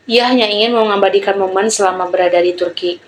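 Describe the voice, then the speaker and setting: A woman's voice: medium pitch, fast talker, a little hiss or hum. A young woman giving a prepared student presentation, telling and explaining an example. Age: young adult.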